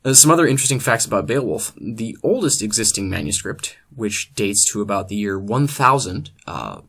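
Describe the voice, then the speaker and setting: A man presenting a comedic scripted literature lecture as host, in a deadpan, mock-scholarly manner. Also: fairly low pitch.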